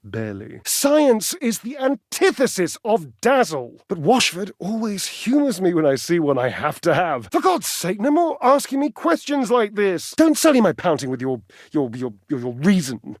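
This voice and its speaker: Flamboyant high-voiced talking clothes dryer. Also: male voice, wildly swooping pitch, normal speed, dry recording, clean studio sound. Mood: pouting, wistful. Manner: theatrical, florid, coquettish. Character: flamboyant, vain, witty talking clothes dryer, male, wistfully lovesick. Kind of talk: video game character acting.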